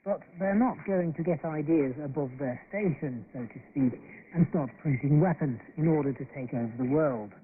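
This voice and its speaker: A man's voice: fairly high pitch, normal speed. A man working as a radio reporter, presenting a feature report. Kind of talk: scripted radio report narration. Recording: tinny, hissy shortwave radio broadcast.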